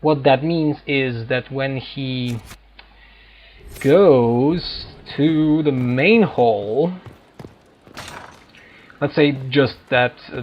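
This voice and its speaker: Man, medium pitch, casual gaming commentary, halting explanatory delivery, dull lo-fi sound, faint noise. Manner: halting, explanatory, searching for words. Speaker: gamer hosting a playthrough. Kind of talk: casual unscripted gameplay commentary.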